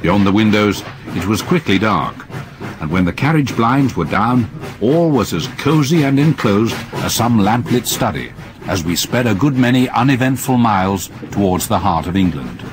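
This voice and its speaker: An actor narrating as a man recalling a journey from his youth, calm and reflective in a radio drama. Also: lowish voice, normal speed, lightly acted, low-quality recording. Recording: old radio broadcast with audible hiss.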